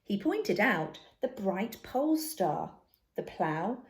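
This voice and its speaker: Female storybook narrator: unhurried, deliberate, expressive, with animated intonation for young listeners. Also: mid-range voice. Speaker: storybook reader. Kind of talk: children's story narration, read aloud.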